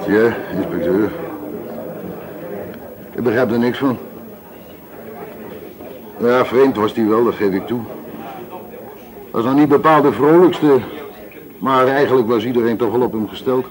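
A man's voice: mid-range voice, unhurried, pauses often, close to an everyday voice, low-quality recording. An actor playing an easygoing factory worker in a radio play, casual, hesitant and vague in his answers. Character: evasive, easygoing factory worker, café regular. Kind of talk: Dutch radio play acting. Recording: radio play with busy café chatter.

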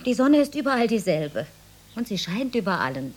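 An actress playing a careworn village mother with a husky, breathy voice, coaxing her children in an intimate radio drama. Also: fairly high pitch, big pitch swings, moderate pace. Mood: coaxing, soothing.

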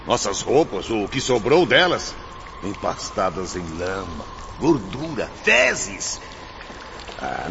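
Man acting grumbling trapped male spirit, low, slow, swooping, radio play, noisy. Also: vocal fry, exaggerated voice. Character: disgusted male spirit trapped in a cave, impatient. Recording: lo-fi with noisy background.

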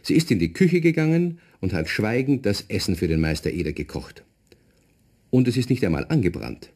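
A man playing the genial narrator of a children's radio play, telling the story in an expressive way. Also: low-pitched.

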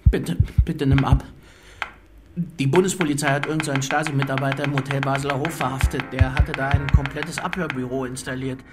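An actor playing an anxious, guilt-ridden husband, pleading and rambling in a radio drama. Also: male voice, mid-range voice, fairly even intonation, brisk, over loud music, close to an everyday voice.

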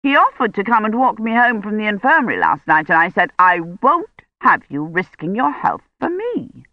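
A narrator as a pompous, scolding matron, pitched up. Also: sing-song, normal speed, dry recording, highs cut off. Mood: disapproving. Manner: pompous, indignant. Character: haughty, scolding, self-righteous matronly older woman.

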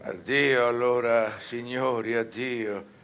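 An actor playing a grave, anguished, doomed scholar in a verse radio drama, with solemn declamatory delivery. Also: male voice, mid-range voice, steady tone, takes it slowly, fairly bright tone, a little hiss or hum. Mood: solemn, courteous.

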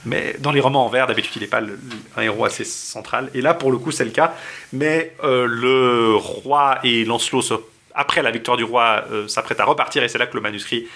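Bright-voiced man retelling a story. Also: medium pitch, brisk. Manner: summarizes loosely with many fillers and restarts, laughing often. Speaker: podcast co-host. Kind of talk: casual chat retelling a medieval romance.

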